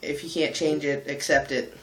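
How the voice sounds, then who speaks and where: A man talking candidly in a casual vlog, thoughtful and pausing often with ums. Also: a little high, fairly even intonation, a bit bright, reverberant, poor audio. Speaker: vlogger and singer. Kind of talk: casual reflective vlog talk.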